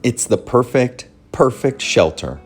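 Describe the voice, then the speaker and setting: A man hosting a children's read-aloud, narrating from a script in a measured, clearly phrased way. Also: medium pitch, lively intonation, soft background music.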